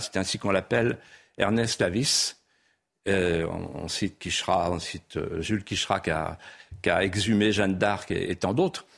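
A man's voice: mid-range voice, slightly rough. A man whose first language was a regional patois, a guest author in a studio interview, speaking in a lyrical, sweeping way that builds to emphatic peaks. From France. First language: Vendée patois.

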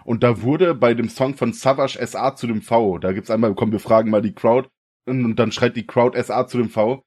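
A young man from the co-hosting a casual podcast, slangy and relaxed, often laughing. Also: mid-range voice, a little fast. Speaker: podcast co-host. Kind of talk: casual chat.

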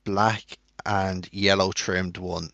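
A young Irish man with a low voice keeps a casual podcast moving along. He is relaxed and conversational. The sound is dry and close to the mic. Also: takes it slowly, creaky, no room sound, muffled recording. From Ireland. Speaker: podcast co-host leading the segments. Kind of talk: casual chat.

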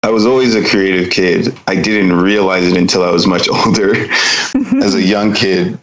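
A deep-voiced man from the States speaks as an interview guest, keeping his pitch low and nearly level throughout. He talks in a measured, relaxed way, pausing and filling gaps with small fillers as he thinks. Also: highs cut off. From United States. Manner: measured, unhurried, with frequent fillers. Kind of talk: casual interview conversation.

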